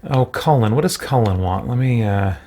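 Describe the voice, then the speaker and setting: A man's voice: medium pitch, lively intonation, normal speed, dark tone. Casual man muttering over a game. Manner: muttering, thinking aloud. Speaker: gaming streamer. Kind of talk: casual gameplay commentary. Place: small room at home.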